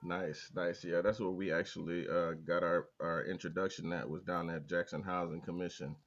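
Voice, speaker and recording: A man hosting a podcast, talking in a low-key, unhurried way with frequent filler words, with an American Midwest accent. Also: little pitch movement, fairly bright tone, highs cut off. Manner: low-key, slow, hesitant with many "uh" fillers. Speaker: podcast host. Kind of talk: casual interview talk.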